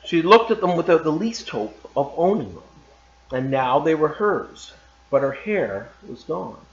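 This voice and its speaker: Male teacher, story read-aloud, calm and measured, echoey room, slightly dull sound. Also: on the high side. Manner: calm and measured, loose and chatty after reading. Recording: roomy, slightly dull recording.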